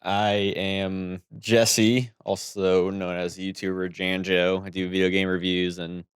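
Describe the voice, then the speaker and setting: Man, deep low voice, podcast co-host, informal movie banter, joking and pedantic. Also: flat delivery, takes it slowly, clear, resonant tone. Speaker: podcast co-host, video game reviewer. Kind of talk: casual banter and movie discussion.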